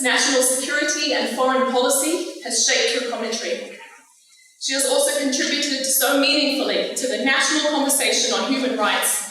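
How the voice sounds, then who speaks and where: A woman from Palestine gives a prepared speech at a lecture, with a measured and impassioned delivery. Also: sits high, sharp tone. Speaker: guest speaker. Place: large lecture hall. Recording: echoey hall recorded from far off.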